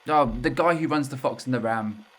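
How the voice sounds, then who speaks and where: A man acts out a guilt-ridden widower and ex-convict in an audio drama. He speaks in a mid-pitched, halting voice. Self-loathing and bitterness run through his confession. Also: fairly bright tone, lightly acted. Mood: bitter, confessing.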